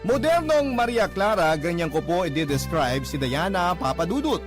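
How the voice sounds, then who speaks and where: A man narrating a listener's love letter in a dramatic, expressive radio style. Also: high-pitched, normal speed. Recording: narration over clear music bed.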